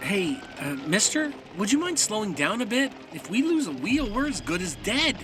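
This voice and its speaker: An actor playing an anxious traveler in an audio drama, questioning nervously and insistently. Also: male voice, high voice, sing-song, normal speed, recorded from a distance, background noise. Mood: uneasy. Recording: off-mic voice with music behind.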